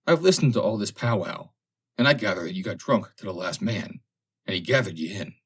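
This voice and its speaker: A man voicing a shrewd, disapproving sailor with a hoarse voice in an audiobook. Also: on the low side, lively intonation, fairly quick, a bit bright. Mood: scornful. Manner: disapproving, scornful. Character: recovering sailor, scornful and shrewd, just out of bed. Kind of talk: audiobook character acting.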